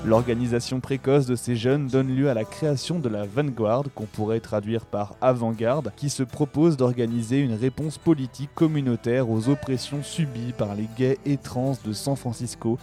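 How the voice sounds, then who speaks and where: A man's voice: medium pitch, steady tone, moderate pace, hardly any pauses, dark tone. A man reading a scripted documentary narration as a radio presenter. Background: music.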